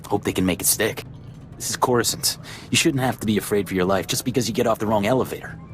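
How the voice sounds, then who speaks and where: A man's voice: lowish voice, quick pace, slight background noise, natural voice. An actor voicing a cynical, streetwise male informant in a sci-fi video game, edgy and sarcastic. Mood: irritated, discreet.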